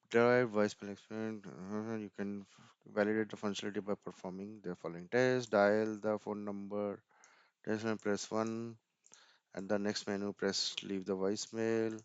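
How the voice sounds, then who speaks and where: Guy muttering thru a tech tutorial, smooth voice, kinda muffled dry mic. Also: lowish voice, no room sound. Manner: thinks aloud, muttering and hesitant, often repeating words. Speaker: tutorial presenter. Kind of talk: informal screen-share tech walkthrough.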